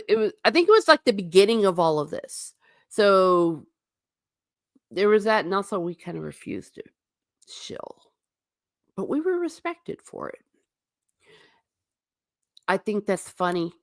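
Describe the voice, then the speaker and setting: A woman's voice: medium pitch, fairly bright tone, dry recording, studio quality. A woman hosting a casual livestream, reading out chat comments and answering them in a chatty, wry and tangential way, often restarting her sentences. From United States.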